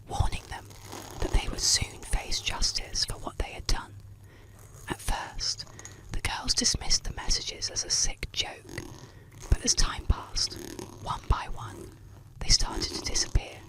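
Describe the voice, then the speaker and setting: A storyteller in a soft, breathy, unvoiced whisper close to the microphone, narrating scripted spooky tales in a soothing, measured ASMR style. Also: poor audio. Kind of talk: scripted ASMR narration of ghost stories and urban legends.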